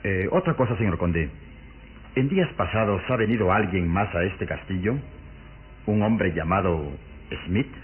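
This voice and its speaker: Deep-voiced, dogged police inspector. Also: male voice, animated, moderate pace. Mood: inquisitive. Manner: formal, firm, inquisitive. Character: serious, dogged male police inspector, formal and polite. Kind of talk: radio drama acting. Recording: tinny lo-fi vintage radio recording with music.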